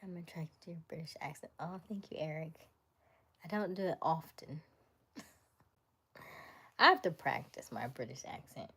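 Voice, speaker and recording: A woman hosting a casual live stream, chatting playfully with viewers, laughing often and dropping to a soft whisper as she reads comments. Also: deep voice, lively intonation, normal speed, long pauses, slightly rough. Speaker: livestream host.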